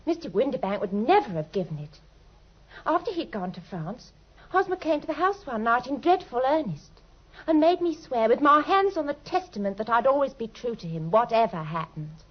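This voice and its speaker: A woman's voice: a little high, sing-song, moderate pace. An actress in an old British radio drama playing a naive, trusting, lovelorn young woman who speaks earnestly and breathlessly. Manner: breathless, earnest, deferential, pours out her story. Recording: dull, lo-fi old radio recording.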